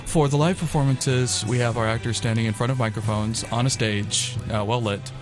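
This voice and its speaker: A man's voice: mid-range voice, fairly even intonation, normal speed, over music. A man talking as an interview guest, explaining things in an informal way. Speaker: radio theatre member, interview guest. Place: college radio studio.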